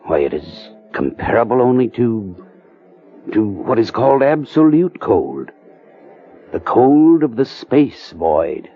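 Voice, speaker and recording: A man's voice: medium pitch, very animated, slow, soft background music. A man playing a solemn investigator in an old-time radio drama, dramatic and ominous. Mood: grave, ominous. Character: grave investigator reporting to his captain. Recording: tinny narrow-band radio drama recording.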